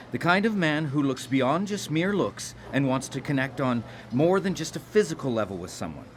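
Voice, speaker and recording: A man's voice: medium pitch, slight background noise, natural voice. A man playing a naive, pious, awkward nice guy on a date, hesitant and stammering, in a live radio drama.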